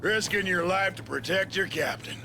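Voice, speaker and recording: An actor voicing a sadistic, mocking villain in a military game, gravelly and taunting. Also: male voice, high-pitched, background noise. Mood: mocking menace. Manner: taunting, drawn-out menace. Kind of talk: cinematic military game acting.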